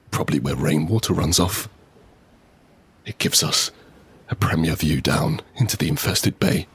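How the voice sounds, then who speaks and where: An actor playing a desperate, vengeful father who narrates his post-apocalyptic survival in an intense, brooding, deliberate manner. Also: low voice, husky, exaggerated voice. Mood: tense, watchful. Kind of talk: first-person scripted audio drama narration.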